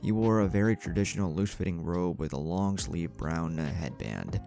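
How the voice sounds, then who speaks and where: A man's voice: low voice, normal speed, gravelly, mellow. A man reading a scripted story as a narrator, voicing the dialogue as he goes. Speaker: fan fiction narrator. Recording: music bed under the voice.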